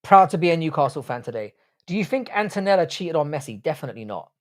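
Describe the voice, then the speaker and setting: A man's voice: fairly high pitch, big pitch swings, quick pace. A young man hosting a live stream, reading chat messages and answering in blunt, jokey, crude banter. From Ireland, grew up in the UK. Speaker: livestream host reading chat.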